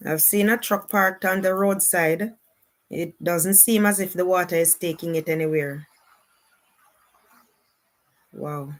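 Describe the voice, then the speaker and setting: Jamaican woman, live stream host. Lowish voice, very slow, casual commentary with repeated exclamations. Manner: unhurried, repetitive exclamations.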